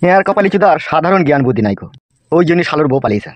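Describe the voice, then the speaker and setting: A man voicing a bossy, gruff village strongman in a Bengali comedy cartoon, talking in slangy, foul-mouthed rural speech. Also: on the high side, sing-song, racing, no echo. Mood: irritated. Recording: music behind the voice.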